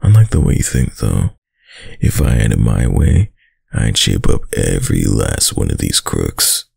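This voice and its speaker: A man playing a dominant, doting yet menacing mafia heir boyfriend in a roleplay ASMR audio drama, with a deep, husky, gravelly voice and a smug tough-guy drawl. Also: animated, unhurried, darkish tone, no echo, clean studio sound, full character voice. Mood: disdainful, musing.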